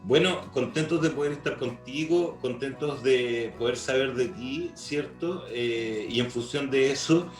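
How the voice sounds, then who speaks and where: A man's voice: medium pitch, a little slow, few pauses. A man with a Chilean accent hosting an interview in a semi-formal, somewhat hesitant way, often adding tag questions. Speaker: interview host. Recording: echoey room, music behind.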